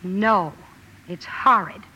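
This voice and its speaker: Radio drama: headstrong young tomboy writer, female, emphatic and brusque, bright-toned, on a noisy vintage broadcast. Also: mid-range voice, slow pace. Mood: indignant. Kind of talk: 1940s-50s radio drama acting.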